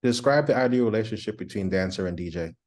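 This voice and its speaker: A man interviewing a guest, asking short questions and giving relaxed, agreeable replies. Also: lowish voice, fast.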